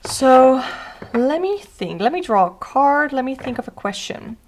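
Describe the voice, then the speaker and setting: A woman's voice: high voice, sing-song, unhurried, darkish tone, a little hiss or hum. Chatty woman tarot reader. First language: Dutch. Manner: chatty, thinking aloud with frequent "um" and "uh". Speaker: tarot reader, channel host. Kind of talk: casual deck flip-through vlog.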